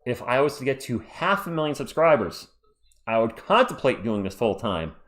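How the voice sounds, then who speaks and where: A man's voice: medium pitch, big pitch swings, moderate pace, a bit bright. A man hosting a live sports stream, chatting casually and reading viewer comments aloud, his voice worn out.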